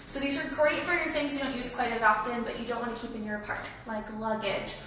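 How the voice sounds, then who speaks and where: A young woman with a slightly raspy voice from a cold, giving a friendly, chatty live tour of an apartment building. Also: fairly high pitch, quick pace, sharp tone, slight background noise. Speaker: leasing tour host. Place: echoey empty indoor rooms. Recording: echoey room from far off.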